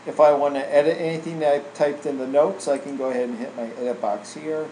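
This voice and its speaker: A man walking through software in an informal, casual tutorial style, like a trainer showing someone the steps. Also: fairly high pitch, fairly even intonation, fast talker. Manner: casual, informal, tags statements with "right?". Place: indoor room, screen recording voice-over. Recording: roomy echo, faint air-conditioning hum.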